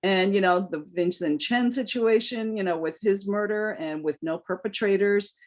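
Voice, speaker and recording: A woman's voice: mid-range voice, moderate pace. A woman from New York whose first language is Cantonese, a guest giving a candid, impassioned interview answer. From New York, United States. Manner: earnest, impassioned.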